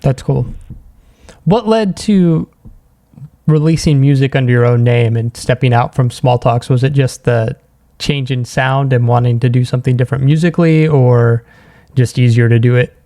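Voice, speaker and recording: A man's voice: medium pitch, fairly even intonation, moderate pace, mellow. A man hosting a podcast, asking questions in a casual conversational interview style.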